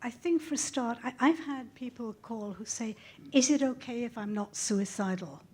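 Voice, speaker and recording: A woman's voice: on the high side, moderate pace, mellow. A woman speaking on a discussion panel as a hotline volunteer, explaining earnestly and reflectively.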